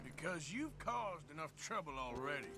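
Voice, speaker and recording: An actor playing a gruff, laconic cowboy outlaw in a game. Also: male voice, on the high side, sing-song, moderate pace, very noisy. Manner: dry, laconic. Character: gruff male outlaw, rough drawling cowboy. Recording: loud game music behind.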